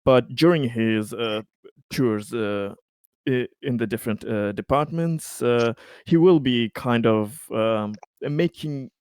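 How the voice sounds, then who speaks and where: A man playing a tabletop roleplaying game, casually narrating a character's plans in halting, hesitant phrases with long pauses. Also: mid-range voice, a little mellow, clean tone, dry recording.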